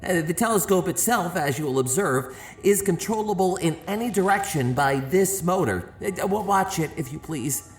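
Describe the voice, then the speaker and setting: A man playing a nice old astronomer who lectures tourists in a measured, slightly hesitant way. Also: high voice, expressive. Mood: patient lecturing. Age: older. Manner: measured, explanatory, hesitant stammers. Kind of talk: audio drama acting. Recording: echoey large space, music underneath.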